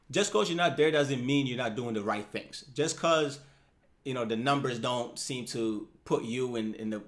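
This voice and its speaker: A man in his thirties hosting a personal finance channel, talking straight to the viewer in a casual, upbeat way and checking in with "right?" and "okay?". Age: 30s. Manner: animated, rises in pitch and loudness for emphasis. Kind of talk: casual explainer talking to camera.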